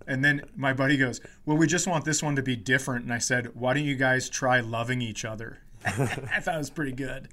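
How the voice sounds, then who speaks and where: A man's voice: medium pitch, fairly even intonation, brisk, fairly bright tone. A man who hosts a podcast as a comedian, telling stories casually with swearing, mock indignation and quoted dialogue.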